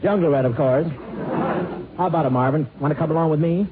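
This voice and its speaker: A man's voice: on the high side, fast, phone-quality sound, close to an everyday voice. A man playing a breezy, teasing, gossipy pal in a 1950s radio comedy sketch. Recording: noisy band-limited 1950 radio, loud audience.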